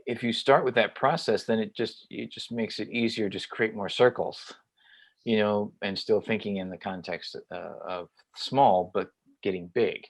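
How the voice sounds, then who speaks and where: A male interview host with a low voice, recorded dry and close. He pauses often and hunts for his words as he talks. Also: fairly even intonation, dry recording. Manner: halting, searching for words. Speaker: show host. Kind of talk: conversational interview.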